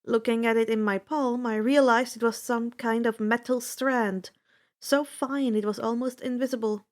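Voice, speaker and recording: A woman reading a story aloud in an expressive first-person narration. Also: on the high side, moderate pace. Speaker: fan fiction narrator.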